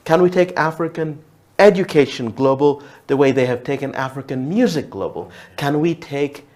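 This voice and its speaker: A man's voice: on the high side, moderate pace, a little mellow. A man from Uganda whose first language is Luo, speaking reflectively as a TV talk show guest, often restarting and repeating words.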